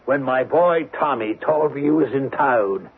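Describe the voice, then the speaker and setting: A man's voice: mid-range voice, measured pace, a little hiss or hum, lightly acted. An actor playing a cheerful older father and ex-convict, hearty and lilting, in an old-time radio drama. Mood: hearty, delighted. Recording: muffled, narrow-band vintage radio recording.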